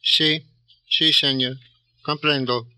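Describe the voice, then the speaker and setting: A fairly high, piercing male voice, speaking very slowly. a meek Spanish-speaking immigrant looking for work in an old radio drama, deferential and full of humble gratitude. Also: steady tone, sharp tone, clear, resonant tone, heavily acted, low-quality recording. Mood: humbly grateful. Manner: deferential, eager to please. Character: meek, grateful immigrant job seeker. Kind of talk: old-time radio crime drama acting.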